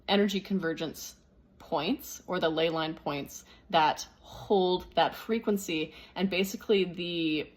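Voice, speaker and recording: A woman speaking as a spiritual teacher, explaining things unscripted and thinking aloud in measured phrases. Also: lowish voice.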